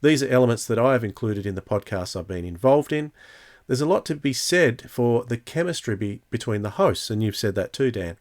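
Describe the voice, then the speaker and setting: A man hosting a podcast in a casual, hesitant way, chatting and reading out comments in a halting delivery. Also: medium pitch, expressive, darkish tone. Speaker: podcast host.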